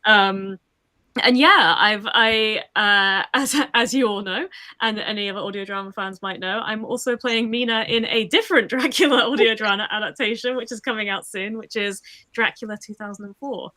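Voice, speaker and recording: A woman's voice: medium pitch, normal speed, bright, forceful tone. A woman voice actor chatting in a casual Q&A over a video call, laughing often and poking fun at herself. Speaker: voice actor, guest. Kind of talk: casual livestream Q&A chat.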